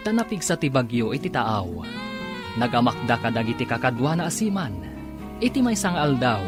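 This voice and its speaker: Male drama announcer, Ilocano accent, animated swoops, emphatic drawn-out phrasing, musical score behind. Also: mid-range voice. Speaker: radio drama announcer and narrator. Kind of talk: dramatic scripted radio serial narration.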